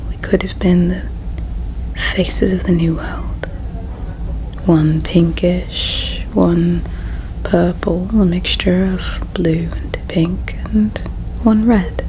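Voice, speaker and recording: A woman whispers very slowly in an ASMR roleplay, playing a solemn, resigned alien princess. Her voice is breathy and soft, with creak at the edges. She tells her story in a hushed, halting way. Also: fairly low pitch, steady tone, rough recording. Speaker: ASMR performer.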